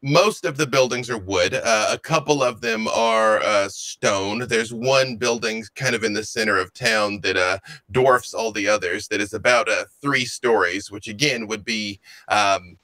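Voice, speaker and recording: A man's voice: on the low side, a little slow, few pauses, sharp tone, no echo, low-quality recording. A man running a tabletop game as game master, describing scenes with frequent fillers.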